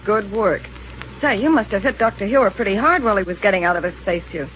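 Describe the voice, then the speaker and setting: A woman's voice: mid-range voice, quick pace, natural voice. An actress playing a cool, scheming female accomplice of a space villain, composed and calculating, in 1930s radio serial style. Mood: cool curious. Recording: muffled, faintly noisy old radio recording.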